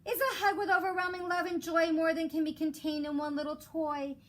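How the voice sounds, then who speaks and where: A woman who teaches elementary school reads aloud expressively for children in a measured storytelling way. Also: extremely high pitch, little pitch movement. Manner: measured storytelling with rhymed cadence. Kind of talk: expressive children's picture book read-aloud. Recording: clear background noise.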